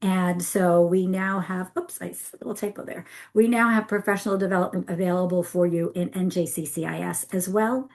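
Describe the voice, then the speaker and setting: A woman's voice: on the low side, fairly even intonation, brisk, hardly any pauses, darkish tone. An upbeat woman gives a webinar presentation over a video call. She talks in a loose, chatty way and fixes her own slips mid-sentence. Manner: chatty, self-correcting, upbeat.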